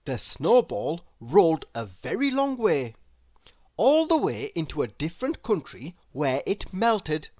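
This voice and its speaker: Slow, very expressive woman reading a storybook. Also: sits low, no room sound. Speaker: storybook reader. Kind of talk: read-aloud narration for children.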